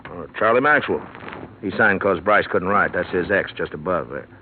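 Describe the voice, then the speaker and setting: An actor playing a troubled small-town sheriff in a 1950s radio drama, steady and plain-spoken. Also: male voice, sits low, normal speed, a little hiss or hum. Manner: shouting over a mob, then quiet and troubled. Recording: tinny lo-fi old radio broadcast.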